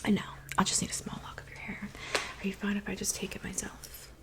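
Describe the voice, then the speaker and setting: A kindly, slightly fussy witch of the woods, played by a woman, speaks in a soft, breathy whisper. Her pitch sits in an average female range. She is gentle, attentive and unhurried, as suits an ASMR potion-making roleplay. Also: completely flat delivery, lightly acted. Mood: gentle, busy. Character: kind, fussy woodland witch mixing love potions.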